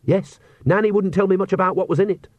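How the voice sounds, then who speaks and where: A man performs a sensible young woman in a storybook tale, anxious and sceptical. She speaks insistently, often questioning, in a pitch clearly lifted above his own. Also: quick pace, no room sound, highs cut off.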